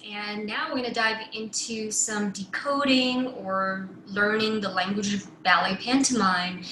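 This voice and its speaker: Young female dance instructor, casual explanatory delivery, bright voice, very echoey room recording. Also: mid-range voice. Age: young adult. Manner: casual explaining with frequent check-in "right?". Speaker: ballet teacher and host. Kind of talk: instructional demonstration, explaining to camera. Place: small bedroom, indoors. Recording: echoey room, distant mic, faint noise.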